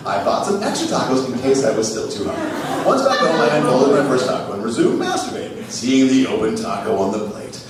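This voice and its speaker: A man hosting a live comedy show, reading aloud for laughs with theatrical, drawn-out emphasis. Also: mid-range voice, big pitch swings, a loud crowd. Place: small theater stage. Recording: echoey, noisy live recording from far off.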